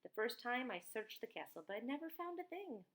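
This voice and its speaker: A woman's voice: fairly high pitch, big pitch swings, brisk, a bit bright, voice pushed up. A woman voicing a friendly, easygoing woman writer in a children's mystery story, chatting with children.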